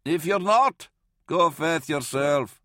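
Man voicing defiant, high-pitched stubborn soldier. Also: lively intonation, takes it slowly, no room sound, clean studio sound. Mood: defiant, suspicious. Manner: defiant yelling.

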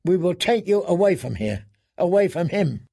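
A man voicing a haunted, maimed man in an audiobook, coaxing gently in an earnest, careful, hushed manner. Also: high-pitched, sing-song, moderate pace, creaky, voice pushed up, heavily acted. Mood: gentle coaxing. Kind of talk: dramatic audiobook character reading.

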